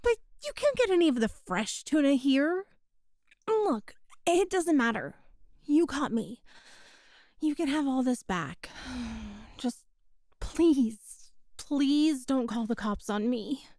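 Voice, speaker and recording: Woman doing a cat-girl thief voice, high and slow, flustered and kinda pitiful, super dry close mic. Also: very animated, a little mellow, dry recording. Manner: sheepish, flustered, defensive. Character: young cat-girl burglar, sly but pitiful. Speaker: ASMR roleplay performer. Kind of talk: ASMR audio roleplay.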